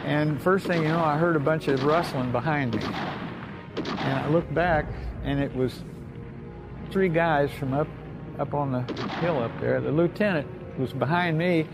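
An older American man, a war veteran, telling his memories informally in a folksy, halting way with repeated phrases. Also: a little high, over music, rough recording. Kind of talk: informal oral-history storytelling.

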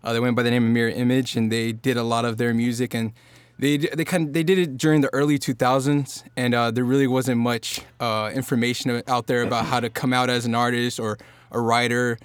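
A man's voice: medium pitch, fairly quick, few pauses, clean tone, dry recording. A man from Norfolk, Virginia, a singer-songwriter guest talking casually on a podcast, loose and rambling with lots of fillers. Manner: relaxed, rambling with many fillers and restarts.

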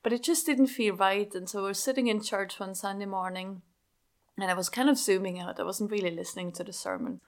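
A woman's voice: medium pitch, expressive, a little fast. Swiss-accented woman pastor telling her story. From Switzerland. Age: young adult. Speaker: senior pastor, guest. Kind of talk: interview storytelling.

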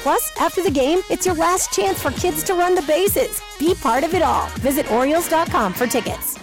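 Female promo announcer, high voice, upbeat scripted read over clear background music. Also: normal speed. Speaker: radio ad announcer. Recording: prominent music behind the voice.

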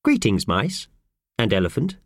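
Man voicing a calm, courteous stick insect. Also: on the low side, moderate pace, no room sound, studio quality, exaggerated voice. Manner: calm, formal, polite. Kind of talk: children's audiobook character voice.

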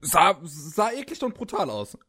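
Male podcast co-host, a bit higher-pitched, chatty and laughing. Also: huge pitch swings, a little slow, sharp tone, husky. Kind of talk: casual chat about anime.

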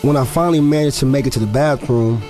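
A man's voice: medium pitch, quick pace, darkish tone, faint music behind, natural voice. An actor playing a guarded young football player in his twenties, confiding in a conversational, slightly hesitant audio drama narration. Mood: reflective, confiding.

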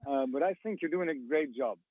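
A man's voice: fairly high pitch, animated, a little fast, no room sound. A man from Lebanon with a Lebanese accent, calling in to a talk radio show and searching for his words. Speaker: caller. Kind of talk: phone-in call. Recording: phone line.

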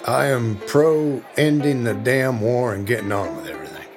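A man's voice: mid-range voice, normal speed, lightly acted. A man acting a casual, wry presidential bodyguard in a period drama, dry and offhand, over music. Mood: fed up.

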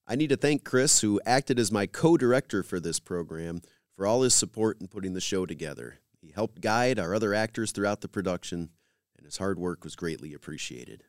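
A man presents a scripted podcast in a measured announcer style. His voice sits a little low and moves up and down with lively intonation. The sound is dry and close, free of room echo. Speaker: podcast host. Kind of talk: scripted podcast host narration.

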